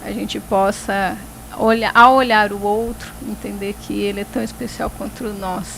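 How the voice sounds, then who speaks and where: A woman hosts a talk show in a mid-range voice, reflective and tagging her sentences with "né". Clear background noise and passing vehicles run under her voice. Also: medium pitch, fairly even intonation, a bit bright, rough recording. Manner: reflective, filling pauses with "né". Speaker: host.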